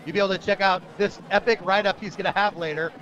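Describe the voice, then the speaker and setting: A man with an American accent calling a live high school football game with an emphatic, excitable sportscaster delivery. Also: fast talker, muffled recording. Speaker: play-by-play radio announcer. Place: outdoor football stadium broadcast.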